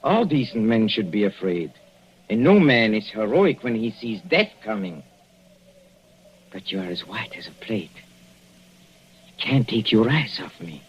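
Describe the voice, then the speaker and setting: A man's voice: fairly low pitch, big pitch swings, measured pace, pauses often, a little hiss or hum. An actor playing a scheming male professor who is poisoned and breaks into stammering pleas, in a 1940s radio drama. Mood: menacing. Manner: stammering, pleading then shouting. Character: panicking poisoned male professor, mathematician killer. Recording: dull old radio broadcast.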